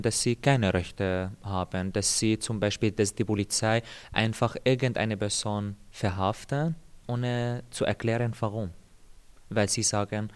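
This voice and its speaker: A young man from Syria whose first language is Arabic, calmly telling his own story in an interview, thoughtful and measured with small pauses. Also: on the low side, clear, resonant tone. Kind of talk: calm personal account.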